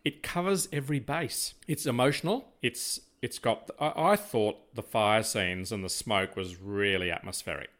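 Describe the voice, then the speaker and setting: An older Australian man with a slightly low voice hosts a casual interview. He talks in a measured, discursive manner, wandering through his thoughts conversationally. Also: a bit bright. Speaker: podcast co-host, interviewer.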